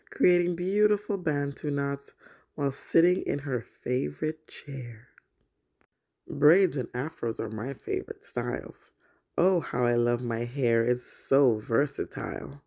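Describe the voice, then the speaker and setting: A woman, around her thirties, speaking as the host of a children's story time, in an expressive storytelling manner. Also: very deep voice, creaky, breathy edge. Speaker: host of a children's read-aloud show. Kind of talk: children's story time, picture book read aloud.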